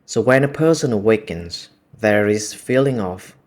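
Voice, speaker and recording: A man with a Vietnamese accent whose native language is Vietnamese, talking in a calm, measured, reflective monologue. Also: on the low side. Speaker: video essay host.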